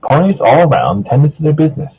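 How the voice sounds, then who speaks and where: A man's voice: a little high, big pitch swings, fairly quick, husky. A man reading fan fiction casually with a group, stumbling over the odd word. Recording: thin phone-like voice chat.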